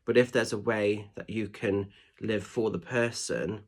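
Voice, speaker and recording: A man with a congested, nasal voice talks through a card reading in a rambling, apologetic way. His voice sits fairly low and sounds husky. He comes across as gently reassuring. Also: steady tone, a little slow. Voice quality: nasal, stuffed-up. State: congested with hay fever or a cold. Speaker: spiritual medium and tarot reader. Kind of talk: casual oracle card reading.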